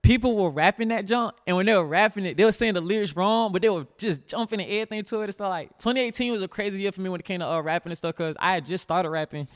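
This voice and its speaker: A man's voice: high-pitched, quick pace, hardly any pauses, no room sound, studio quality. A young man with a light voice, a rapper chatting as a guest in a casual interview, laid-back and rambling with lots of fillers.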